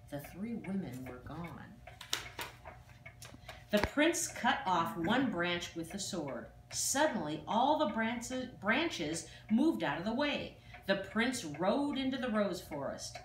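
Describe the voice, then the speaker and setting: An older woman reads a children's fairy tale aloud, with expressive, dramatic emphasis on exclamations. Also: mid-range voice. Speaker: storyteller. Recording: distant, echoey room with faint noise.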